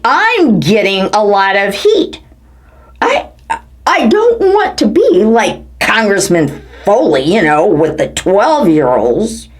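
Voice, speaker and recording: A woman from the United States giving a theatrical satirical reading, swinging between low mutters and high exclamations. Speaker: performance artist. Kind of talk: satirical dramatic reading of quotes. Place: home living room.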